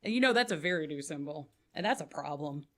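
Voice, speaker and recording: A woman's voice: deep voice, expressive, fast talker, a bit bright. A woman narrating as game master in a casual, profane way, full of filler words.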